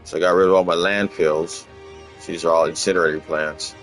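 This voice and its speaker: A man from Los Angeles, casually talking through his game in a rambling, relaxed way, often repeating words. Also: deep voice, a little fast, faint music behind. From Los Angeles, USA. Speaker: gaming channel host. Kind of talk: casual gameplay walkthrough commentary.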